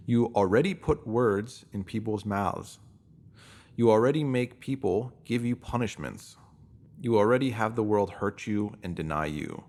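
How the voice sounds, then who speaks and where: A man reading a scripted self-help piece, addressing the listener directly. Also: on the low side. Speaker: reader of written posts.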